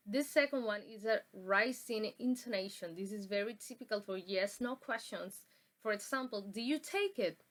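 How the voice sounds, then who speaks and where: Halting young woman presenting a lesson. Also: medium pitch, fairly bright tone. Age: young adult. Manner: halting, with many ums and uhs and restarts. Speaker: student presenter. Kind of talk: classroom presentation on pronunciation.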